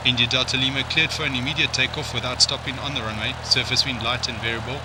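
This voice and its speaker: A man playing an airport tower controller, reading out scripted clearances in radio-call practice. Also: mid-range voice, fairly even intonation, fast, lightly acted. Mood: procedural. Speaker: air traffic controller in practice exercises. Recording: clear vehicle-like background noise.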